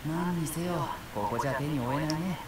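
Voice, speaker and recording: A man's voice: fairly high pitch, rapid-fire, recorded from a distance, background noise, close to an everyday voice. An actor playing a dutiful young male Japanese police officer in a visual novel, exasperated but patiently questioning. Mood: weary, firm.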